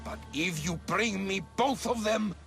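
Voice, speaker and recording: A scheming cult recruiter villain in a video game, highish formal voice, music clearly playing under him. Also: male voice, measured pace, a bit bright, full character voice. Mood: commanding. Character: menacing, calculating male cult recruiter addressing followers.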